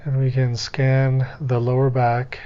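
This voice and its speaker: A man teaching a guided meditation, gently coaching step by step in an unhurried way with pauses. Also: medium pitch, monotone, clean tone, dull audio.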